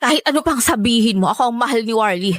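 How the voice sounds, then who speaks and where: A Filipino woman acting in a radio drama as a defiant, whiny young mistress, brazen and quick to defend herself. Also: fairly high pitch, expressive, dry recording, studio quality, natural voice.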